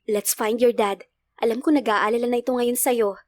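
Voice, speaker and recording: A woman voicing an emotional young mother in a storybook narration, gentle, protective and pleading. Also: a little high, steady tone, moderate pace, no echo, lightly acted. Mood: soothing.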